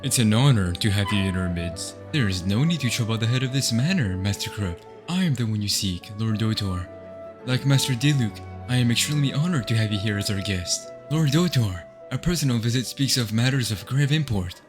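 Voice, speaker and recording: Male actor for gracious young aristocrat, formal, composed, slightly deep voice, fan-dub drama. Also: animated, music in the background, close to an everyday voice. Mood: courteous. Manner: formal, polite, measured. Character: courteous young male noble host, composed and dignified.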